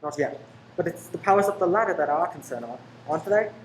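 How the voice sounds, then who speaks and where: A brash, chatty undercover detective from a radio play, acted by a woman. The voice sits fairly low, cheeky and talkative, with faint noise in the recording. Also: fast, natural voice, low-quality recording. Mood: earnest. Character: Ted, cheeky undercover detective posing as greengrocer's man. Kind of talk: amateur radio play acting.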